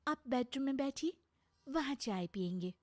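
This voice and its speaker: A woman voicing a bold, sharp-tongued young daughter-in-law, assertive and pointed, in a moral story. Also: high voice, animated, normal speed, no room sound. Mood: coy, inviting. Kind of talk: acted Hindi moral story.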